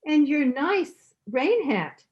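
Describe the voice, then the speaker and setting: Woman playing a high-voiced, animated, kindly landlady. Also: slow, lo-fi sound, noisy background, heavily acted. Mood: fussing, sweet. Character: kindly, absent-minded older landlady. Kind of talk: old-time radio comedy acting.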